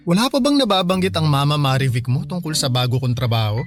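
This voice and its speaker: Radio drama uncle played by a man: affectionate, patient, playful with kids, fairly high male voice, faint music underneath. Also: lively intonation, fast talker, lightly acted. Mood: fond. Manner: playful, tender, patient with a child. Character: affectionate, gentle uncle talking to his young nephew.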